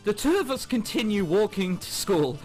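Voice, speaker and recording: A man doing let's play commentary, reading visual novel text aloud in an excitable, giggly way with exaggerated reactions. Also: high voice, normal speed. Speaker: video game commentator. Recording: background music under the voice. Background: game music.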